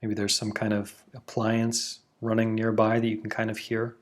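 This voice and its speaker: A man gently leads a guided meditation. His voice sits slightly low, and he speaks slowly and calmly, leaving long pauses between soothing instructions. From Canada.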